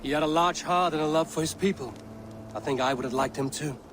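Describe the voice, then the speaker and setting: An actor playing a steady, poetic Viking warrior in a video game, speaking in a measured, dryly teasing and commanding way. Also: male voice, fairly high pitch, close to an everyday voice. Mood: solemn, consoling. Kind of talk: dramatic video game acting. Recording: music behind the voice.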